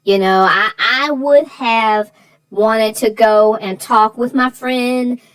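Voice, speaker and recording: A woman's voice: a little high, steady tone, takes it slowly, fairly bright tone, clean tone, poor audio. A woman co-hosting a children's story show, chatting casually in a playful, mock-dramatic way. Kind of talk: casual chat.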